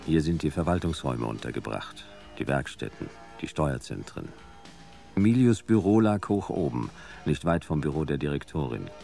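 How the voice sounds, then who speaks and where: Very deep, gravelly, laconic detective. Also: male voice, normal speed, faint music behind, heavily acted. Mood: wry, reflective. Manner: dry, clipped, deadpan. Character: laconic, world-weary male private detective narrating his case. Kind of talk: noir radio play narration and dialogue.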